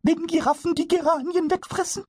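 A man voicing a small, mischievous kobold in a storybook reading, excitable and childlike. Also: very high voice, brisk, no room sound, clean studio sound, voice pushed up. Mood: puzzled. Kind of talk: storybook character voice.

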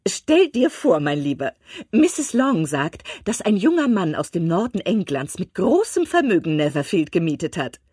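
A woman reading aloud and voicing an excitable, gossiping middle-aged mother of five daughters in an eager, insistent manner. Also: fairly low pitch, normal speed, breathy edge, no echo, clean studio sound, voice pushed up. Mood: excited.

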